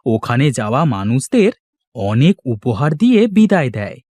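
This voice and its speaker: Man acting an earnest, animated poor village father. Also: medium pitch, moderate pace, dry recording. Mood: recounting. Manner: earnest, expressive. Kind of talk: children's fairy tale cartoon dubbing.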